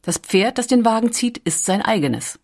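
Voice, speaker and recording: A woman reading scripted documentary audiobook narration in a measured, articulate storytelling manner. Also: on the high side, expressive, a little mellow.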